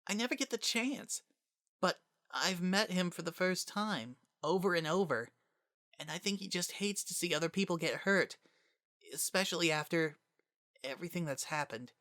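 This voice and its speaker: Woman playing an earnest young boy, low-pitched, close. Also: lively intonation, normal speed, fairly bright tone, no room sound. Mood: anxious, earnest. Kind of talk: dramatic reading of fan fiction.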